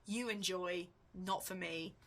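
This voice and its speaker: A woman book vlogger chatting casually and thinking aloud, with a wry, animated, self-questioning way of talking. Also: lowish voice, slow pace.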